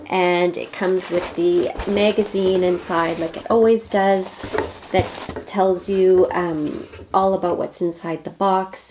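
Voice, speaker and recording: A woman in her forties chats to the camera in an upbeat, casual way, her voice hoarse from the flu. Her speech is gravelly and sits a little low, with plenty of ums as she talks. She is recorded at home by a camera on the desk. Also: background noise. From Canada. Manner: chatty and upbeat, with frequent ums. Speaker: beauty vlogger. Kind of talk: casual unboxing chat to camera. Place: home beauty room with shelves behind. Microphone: camera at desk distance, head and shoulders framing.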